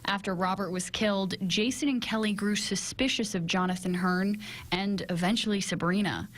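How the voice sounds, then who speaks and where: A woman's voice: mid-range voice, fairly even intonation, normal speed, slight background noise. An American woman delivering television news reporting from the field. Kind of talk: broadcast news reporting, scripted and live. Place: outdoors on location.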